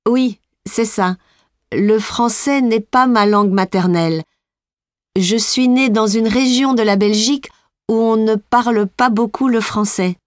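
Young Belgian pop singer, voiced by an actress, fairly high voice, very slow, careful delivery, dry studio sound. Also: female voice, no echo, close to an everyday voice. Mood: friendly. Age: young adult. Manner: slow, clear, carefully articulated. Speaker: interviewee. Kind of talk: scripted exam dialogue.

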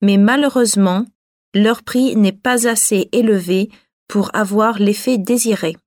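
A woman playing a young student in a scripted language-exam dialogue, reading slowly and evenly. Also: medium pitch, dry recording, studio quality, close to an everyday voice. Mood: matter-of-fact. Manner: slow, even reading. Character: young woman student volunteering at a beach cleanup.

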